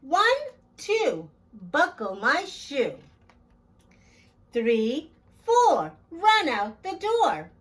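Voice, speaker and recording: A woman with a high voice and very animated intonation hosts a story time for children. She reads at an unhurried pace, expressively, addressing young listeners directly. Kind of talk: children's read-aloud.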